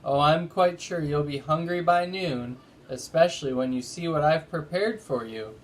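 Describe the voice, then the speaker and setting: A man's voice: a little high, moderate pace, lightly acted, low-quality recording. A man voicing a friendly, motherly woman innkeeper in a children's storybook. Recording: noticeable background noise.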